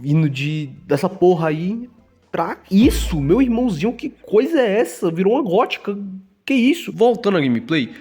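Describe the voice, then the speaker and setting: A man's voice: sits high, sing-song, moderate pace, a little mellow, breathy edge, soft background music. A young man with a Brazilian Portuguese accent, narrating a scripted video game review voice-over in a casual, slangy, joking way. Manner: casual and slangy, jokey asides.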